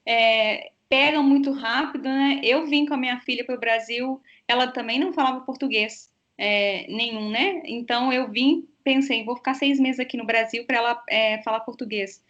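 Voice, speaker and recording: A woman's voice: fairly high pitch, moderate pace, few pauses, a bit bright. A woman hosting a casual interview, chatty and full of tag questions, over a video call. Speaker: interview host, works in student exchange. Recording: dull lo-fi call audio.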